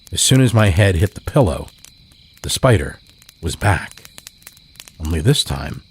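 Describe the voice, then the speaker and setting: A very deep male voice tells a first-person horror tale in a measured, deliberate delivery. Faint night ambience hisses softly behind him. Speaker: podcast host and story narrator. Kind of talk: scripted horror story narration.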